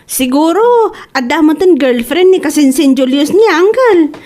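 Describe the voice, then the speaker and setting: A woman's voice: high-pitched, expressive, moderate pace, a little mellow. A woman playing a chatty, inquisitive young cousin in an Ilocano comedy radio drama, with an Ilocano Filipino accent. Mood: chatty, curious.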